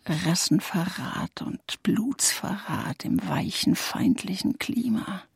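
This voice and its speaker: A grim Nazi widow in endless mourning for a radio drama. Her voice is low and creaky, close and dry, often sinking into a venomous, breathy whisper. She sounds bitter and fanatical. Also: lively intonation, slow pace, no echo, exaggerated voice. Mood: hissing disgust. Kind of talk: German literary radio play.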